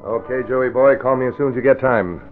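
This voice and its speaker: An actor playing a cool, domineering crime boss, curt and slangy, in an old-time radio drama. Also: male voice, mid-range voice, a little fast, over music, natural voice. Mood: irritated. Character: displeased male criminal boss, cool and domineering. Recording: narrow vintage radio recording.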